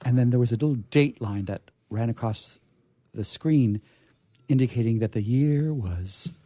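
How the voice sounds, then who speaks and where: A man's voice: mid-range voice, moderate pace, warm tone, dry recording. A middle-aged or older man hosting a radio show, chatting casually and enthusiastically in a rambling, self-deprecating way. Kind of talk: casual unscripted event chat.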